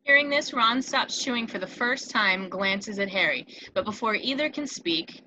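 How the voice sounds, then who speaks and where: A woman's voice: on the high side, expressive, normal speed, pushed and bright, low-quality recording. A woman narrator reading out scripted screen directions for a table read.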